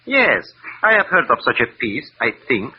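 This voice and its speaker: An actor playing a nervous, guarded male shop owner, speaking in measured vintage radio drama style. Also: mid-range voice, wildly swooping pitch, husky, heavily acted. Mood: evasive. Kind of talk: 1940s-50s radio drama acting. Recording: muffled old radio recording, faint noise.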